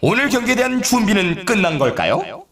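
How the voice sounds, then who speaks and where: An actor playing an excitable, showy snowboard race announcer in a video game, delivering scripted commentary with dramatic rhetorical flair. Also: male voice, sits high, a little fast, a crowd in the background. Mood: excited, suspenseful. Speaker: sports announcer. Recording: roomy echo, distant from mic.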